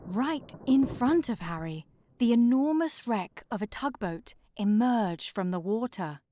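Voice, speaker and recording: A woman's voice: a little high, animated, measured pace, mellow, a little hiss or hum. A woman narrating a scripted children's storybook aloud. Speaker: storybook reader.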